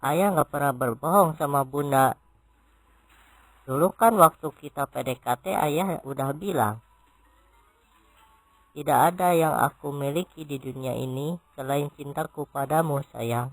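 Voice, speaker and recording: A man's voice: on the high side, a little slow, pauses often, no echo, soft background music, poor audio. A man playing a shameless gambling husband in a comic cartoon, earnest and self-justifying. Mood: defensive.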